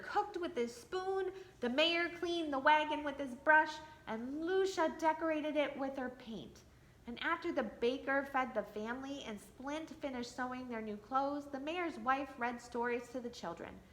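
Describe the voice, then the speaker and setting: Slow, expressive woman storyteller. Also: sits high. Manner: expressive, playful asides. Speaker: church storyteller. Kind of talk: reading a picture book aloud to children.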